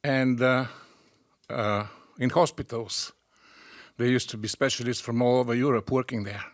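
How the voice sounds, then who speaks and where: A man's voice: medium pitch, steady tone, normal speed, no echo. Audience speaker, man in his sixties, hesitant and matter-of-fact, dry close sound. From Bulgaria. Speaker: audience member.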